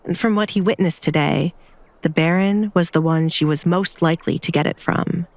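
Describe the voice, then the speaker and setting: Low-voiced woman narrating a dramatic story. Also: moderate pace, no room sound. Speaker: audiobook narrator. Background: background music.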